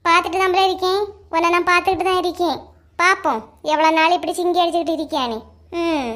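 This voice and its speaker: Woman playing nagging, high-pitched old Kanyakumari mother-in-law. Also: fairly even intonation, quick pace, fairly bright tone. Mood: suspicious, nagging. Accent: Kanyakumari Tamil. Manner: nagging, complaining. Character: grumbling, suspicious elderly mother-in-law. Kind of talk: comedy cartoon voice acting.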